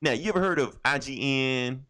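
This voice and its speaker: A man co-hosting a podcast, chatting casually about comics and games in a profane, opinionated, animated way. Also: mid-range voice, fast. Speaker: podcast co-host. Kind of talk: casual comic book and video game chat.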